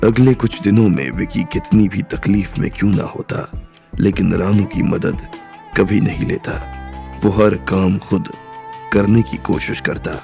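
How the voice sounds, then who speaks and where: A man narrating a romantic crime audio drama in a measured storytelling manner. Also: sits low, steady tone, vocal fry, warm tone, soft background music.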